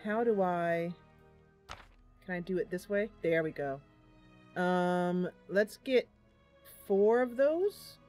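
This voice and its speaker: A woman's voice: fairly low pitch, darkish tone. A woman streaming a game live, chatting casually and affectionately with her viewers.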